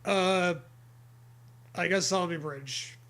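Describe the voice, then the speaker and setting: A man's voice: high voice, takes it slowly, fairly bright tone. A man co-hosting a podcast, mixing gamebook reading with casual, dry joking asides. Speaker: podcast co-host. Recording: faint background noise.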